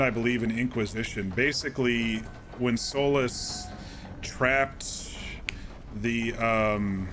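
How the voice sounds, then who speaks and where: A man streaming a game, casually recapping events in a calm, rambling way with many fillers and restarts. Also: medium pitch, a little hiss or hum.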